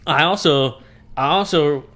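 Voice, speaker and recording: Guy cohosting a podcast, fairly high voice, laughs a lot, keeps saying dude. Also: measured pace, a bit bright. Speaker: podcast co-host. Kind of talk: casual chat.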